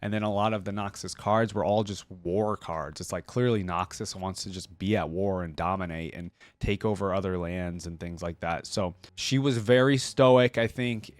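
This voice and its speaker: A man hosting a reaction video, giving casual, rambling gaming commentary as he thinks out loud. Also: on the low side, no echo.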